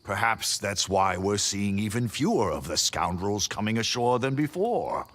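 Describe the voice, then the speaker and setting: A man playing a weary, disdainful knight in a video game, formal in speech, with a gravelly, husky voice. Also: on the low side, lively intonation, normal speed, fairly bright tone. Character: weary, disdainful knight keeping vigil against pirates. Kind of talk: video game character dialogue.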